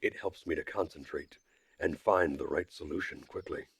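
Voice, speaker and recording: A man with a slightly rough voice narrating a first-person visual novel story in a measured, dry manner. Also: very deep voice. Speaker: streamer reading a visual novel aloud.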